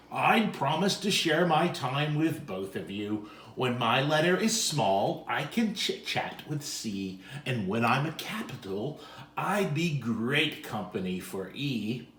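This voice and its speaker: A man's voice: mid-range voice, a bit bright, echoey room. A man voicing a helpful, reassuring alphabet letter offering peace in a children's story. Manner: reassuring, reasoning.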